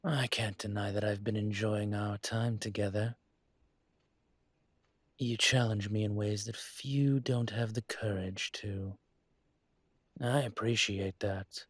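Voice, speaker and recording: A man playing a suave, courteous dragon mafia boss in an intimate roleplay audio, with a husky, breathy voice and a gentle, teasing formality. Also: fairly low pitch, fairly even intonation, takes it slowly, long pauses, no room sound. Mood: warm, sincere.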